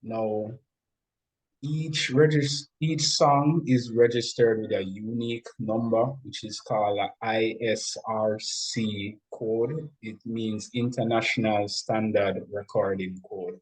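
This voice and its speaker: A man speaking as a music producer guest, explaining things deliberately, step by step, in an interview. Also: fairly low pitch, steady tone, low-quality recording.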